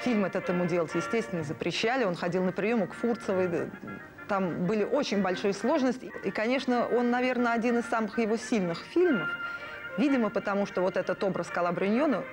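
A woman's voice: medium pitch, fairly quick. A middle-aged woman giving personal reminiscences in a documentary interview. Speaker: artist, interviewee. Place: indoor room among puppets. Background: music.

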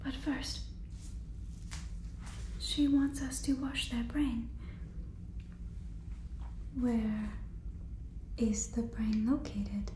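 A young woman whispering an ASMR roleplay as an awkward, earnest alien examiner, with stilted, deadpan, deliberate phrasing. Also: on the high side, reverberant, slight background noise, poor audio. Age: young adult. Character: awkward, inhuman female alien examiner, earnest and oddly polite. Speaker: ASMR performer.

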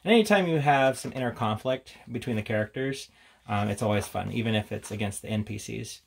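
Casual man with a creaky voice. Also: lowish voice, a little fast. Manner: hesitant, informal, thinking aloud. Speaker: host of a tabletop gaming channel. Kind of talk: casual unscripted product walkthrough.

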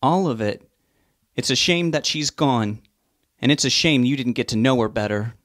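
A man's voice: mid-range voice, normal speed, a bit bright, no echo, clean studio sound, natural voice. A man voicing a grieving young woman who speaks shakily but defiantly.

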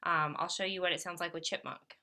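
A woman with a bright, clear-cut voice set a little low talks through an app demonstration. She sounds like a teacher giving a casual, practical tutorial. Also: fairly even intonation, brisk. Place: voice-over for a tablet screen recording.

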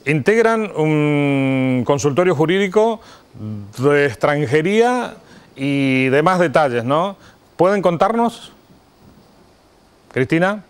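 A man's voice: on the high side, animated, slow pace, long pauses, clear, resonant tone. A middle-aged man with an Argentine accent, hosting a live TV interview in a conversational way. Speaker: TV host. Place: TV studio desk. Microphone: lapel mic.

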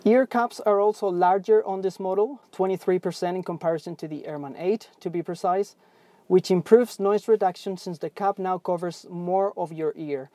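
A man's voice: sits high, normal speed, dark tone, dry recording. Young male pilot-host, upbeat scripted product review, recorded in a cockpit. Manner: upbeat, scripted presenter delivery. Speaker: pilot and aviation channel host. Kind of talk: product review presented to camera. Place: aircraft cockpit on the ground.

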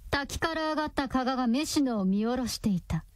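Higher-voiced woman narrating radio drama. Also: lively intonation, fairly quick, dry recording.

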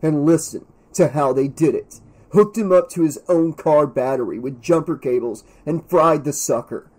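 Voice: mid-range voice, slow, warm tone, low-quality recording. A narrator voicing a brash, callous teenage boy in an audiobook, cocky and emphatic as he gossips. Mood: gleeful. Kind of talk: audiobook character dialogue.

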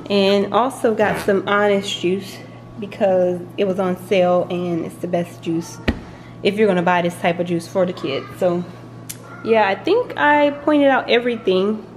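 A woman's voice: mid-range voice, a little slow, background noise. A young woman, a college mom, chatting casually in her kitchen as she shows off her groceries, laughing now and then. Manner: chatty, relaxed, laughs often. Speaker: vlogger, college mom of three. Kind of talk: casual grocery haul vlog. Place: home kitchen, indoors.

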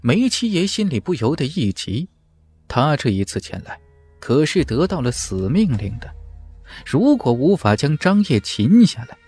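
A man's voice: fairly high pitch, sing-song, moderate pace, darkish tone, husky, faint music behind. A man narrating a fantasy novel in a dramatic, scripted audiobook style.

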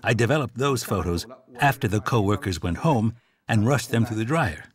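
Male dub voice, documentary translation, measured and reflective, pitch moves a lot. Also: animated. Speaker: voice-over dub of an interviewee.

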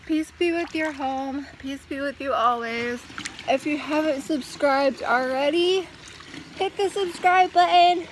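A woman's voice: sits high, measured pace, slight background noise. A woman in her thirties rambling casually to her phone camera outdoors by a backyard pool, full of fillers. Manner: rambling and chatty, with many fillers. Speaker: vlogger. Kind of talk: casual vlog chat. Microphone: phone held at arm's length.

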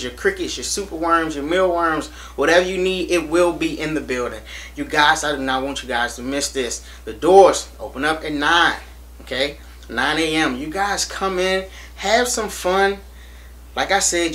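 A young American man vlogging to camera in a small room, hyped and animated, repeating himself as he urges viewers to come. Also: a little high. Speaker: reptile channel vlogger. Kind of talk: casual to-camera promo vlog. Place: small indoor room against a plain wall.

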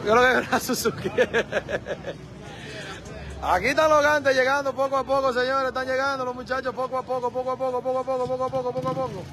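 A man with a Dominican accent giving excitable live street commentary, full of exclamations, recorded on a phone outdoors. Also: extremely high pitch, fairly quick. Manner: excitable, frequent exclamations and laughter. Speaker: livestream street reporter. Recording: noisy street crowd livestream. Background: crowd and traffic noise.

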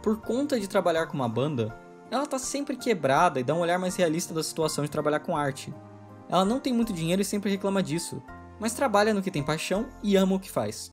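Fast, wry male video essay narrator. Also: high voice, expressive, faint music behind. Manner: brisk, opinionated, wry delivery.

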